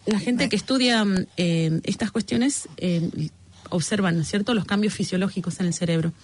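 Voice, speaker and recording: A woman talks through an explanation in a relaxed radio conversation. She hesitates often, filling gaps with small sounds as she thinks. Her voice sits at a middling pitch. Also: normal speed. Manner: explanatory, hesitant with many fillers. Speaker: guest explaining bilingualism. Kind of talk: casual radio chat.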